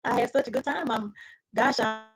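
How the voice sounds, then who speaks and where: A woman guest on a podcast, talking casually in a halting way with many fillers as she gives thanks. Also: fairly high pitch, creaky.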